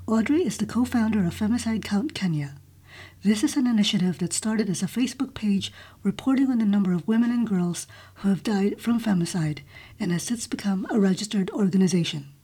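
A woman's voice: medium pitch, dark tone. A woman hosting a podcast, reading a guest introduction and then asking questions. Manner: reads the intro smoothly, then asks questions with ums. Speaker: podcast host.

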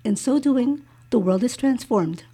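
A woman hosting an interview show, reading out a guest introduction and then asking soft, hesitant questions. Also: fairly high pitch, animated, unhurried, breathy edge. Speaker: podcast host.

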